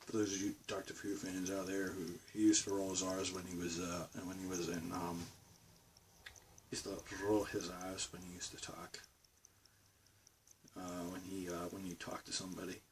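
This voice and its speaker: A man's voice: low-pitched, little pitch movement, moderate pace, far from the mic, a little hiss or hum, low-quality recording. A man with a Boston accent rambling casually to his camera from his bedroom studio. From Massachusetts, USA. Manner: rambling, meandering talk. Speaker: vlogger.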